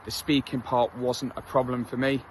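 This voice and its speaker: A man's voice: medium pitch, level tone, moderate pace, a little mellow, slight background noise. Candid English man talking while jogging. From England. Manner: candid, self-deprecating, chatty with many fillers. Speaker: vlogger. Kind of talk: casual talk to camera while jogging. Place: outdoors on a street.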